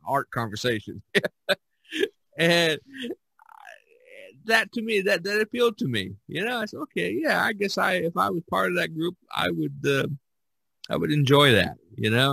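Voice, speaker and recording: A man hosting a podcast, talking casually in a rambling, halting way. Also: a little high, creaky, pushed and bright, no room sound. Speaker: podcast host. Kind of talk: casual conversation.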